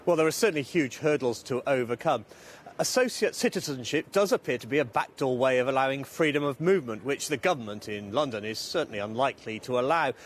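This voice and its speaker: A man giving live political analysis as a correspondent, measured and analytical. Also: mid-range voice, animated, slight background noise.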